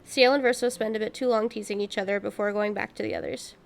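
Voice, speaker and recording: A woman's voice: medium pitch, fairly even intonation, fast talker, gravelly, faint music behind. A woman streaming a game, playful and casual, reading narration aloud and dropping in her own asides. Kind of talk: playthrough commentary, reading narration text aloud.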